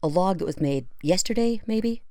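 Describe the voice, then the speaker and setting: A skeptical female pony analyst played by an actress with a low, dark voice. She is sharp-minded, dry and sardonic, questioning things in a conversational way. The drama recording is very dry. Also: animated, moderate pace, no room sound, lightly acted. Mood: skeptical, probing. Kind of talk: conversational audio drama dialogue.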